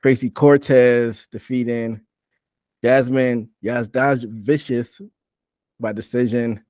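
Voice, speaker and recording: A man's voice: medium pitch, fairly even intonation, slow, darkish tone, no room sound, clean studio sound. A man hosting a sports podcast, talking casually through a recap and putting questions out for discussion. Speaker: podcast host.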